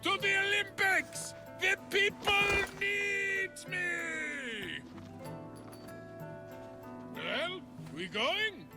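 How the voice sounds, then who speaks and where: A man voicing a boastful, childish, dim-witted muscle-bound champion for a game, with a gravelly, strained voice and a loud, whiny, bragging manner. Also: extremely high pitch, expressive, very slow, long pauses, over loud music, heavily acted. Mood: excited, boastful.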